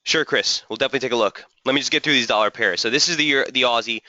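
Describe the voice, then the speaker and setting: A man hosting a live trading webinar, slightly hoarse, walking through charts and answering viewers' typed questions. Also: medium pitch, rapid-fire, bright, forceful tone, no room sound, muffled recording. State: slightly hoarse, losing his voice. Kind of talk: live market analysis webinar.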